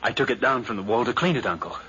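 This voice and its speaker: A man's voice: medium pitch, expressive, racing, pushed and bright, breathy edge, heavily acted. An actor playing a deferential but scheming young man, tense and careful, in a dull, noisy 1950s radio drama recording. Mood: deferential, uneasy. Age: young adult.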